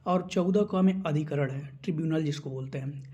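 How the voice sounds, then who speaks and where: A man teaches an exam class in a slightly high, soft-edged and mellow voice. He works through the material point by point, explaining each item as an instructor would. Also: moderate pace, dull audio. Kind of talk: instructional lecture on exam syllabus.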